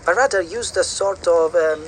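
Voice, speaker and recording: A man's voice: high-pitched, moderate pace, a bit bright, slight background noise. An actor playing a hesitant man who searches for words as he patiently explains, in an audio drama interview. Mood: hesitant, explaining.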